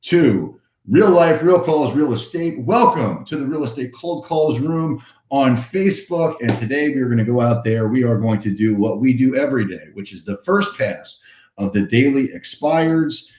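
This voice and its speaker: Hoarse, hyped-up man in an echoey room. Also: mid-range voice, normal speed, slight background noise. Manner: energetic, hyped, motivational. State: hoarse, voice a bit shot. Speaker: real estate agent hosting a live cold-calling stream. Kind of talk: casual pep-talk livestream chat.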